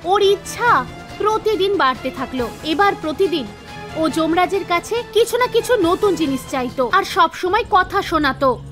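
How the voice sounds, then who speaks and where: A woman narrator reading a scripted animated story for children. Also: extremely high pitch, moderate pace, soft background music.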